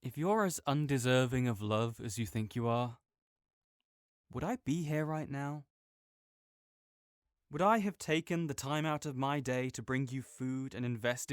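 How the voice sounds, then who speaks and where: A man's voice: medium pitch, smooth, no echo, clean studio sound. A young man in an audio roleplay, talking as a supportive friend in a playful, reassuring way.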